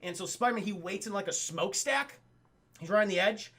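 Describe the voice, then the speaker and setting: A man's voice: high voice, animated, brisk, a bit bright. A man streaming a casual, profane scene-by-scene cartoon recap, stammering and addressing his viewers.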